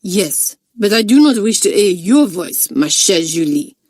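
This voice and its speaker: A bad-tempered French schoolmistress, put on by a woman storyteller, barking commands with a French accent. She snaps at the class, loud and haughty. The sound is dry and close. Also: mid-range voice, animated, normal speed, no room sound, close to an everyday voice. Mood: furious. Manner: imperious, snapping.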